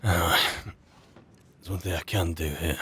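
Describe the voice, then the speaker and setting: A man improvising in-character roleplay in a fantasy game, musing aloud in a halting way with pauses. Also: low-pitched, steady tone, sharp tone, a little hiss or hum. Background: game music.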